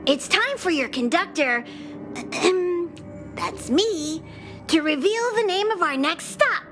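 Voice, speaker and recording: Very high-pitched little mascot conductor. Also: female voice, expressive, takes it slowly, music in the background, heavily acted. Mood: self-important. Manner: formal announcing, refers to itself in third person. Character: small cute creature conductor of a space train, officious and proud. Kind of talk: video game character acting.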